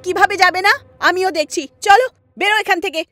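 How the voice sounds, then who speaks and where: A woman as a shrill young village wife. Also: brisk, sharp tone, faint music behind. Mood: furious. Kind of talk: animated horror story.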